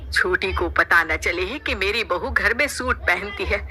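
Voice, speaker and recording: A woman voicing a gentle, protective middle-aged mother-in-law in a cartoon, reassuring and reasoning. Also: medium pitch, unhurried, a little hiss or hum. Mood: confiding.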